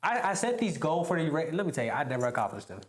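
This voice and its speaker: Animated young man, echoey room. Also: on the high side, quick pace. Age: 20s. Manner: animated, laughs often, says "bro". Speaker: podcast host. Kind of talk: casual conversation.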